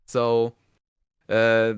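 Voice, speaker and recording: Clear-voiced man in casual review chat. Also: medium pitch, monotone, very slow, no room sound, studio quality. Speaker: reviewer, co-host.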